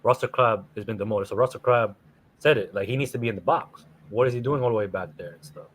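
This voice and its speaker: A man's voice: lowish voice, fast talker, fairly bright tone, a little hiss or hum, poor audio. A man on a casual football reaction panel, talking hesitantly with many fillers and restarts. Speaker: football fan show panelist. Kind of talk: casual match reaction chat.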